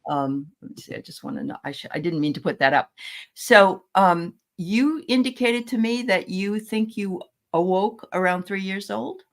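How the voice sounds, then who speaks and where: A woman from New Hampshire hosting a casual interview, speaking in a friendly, halting way with many fillers. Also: medium pitch. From New Hampshire, USA. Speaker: host.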